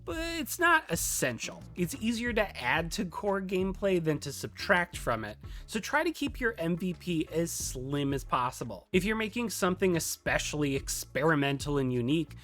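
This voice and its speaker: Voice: mid-range voice, sing-song, moderate pace, hardly any pauses, a bit bright. A presenter narrating a scripted tutorial in a conversational, lightly playful way. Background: music.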